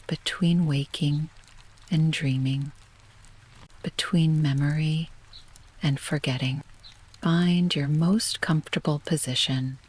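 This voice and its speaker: Female sleep-meditation narrator: very slow, breathy and often near whisper, gentle scripted storytelling delivery. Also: deep voice. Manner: gentle, hushed, unhurried.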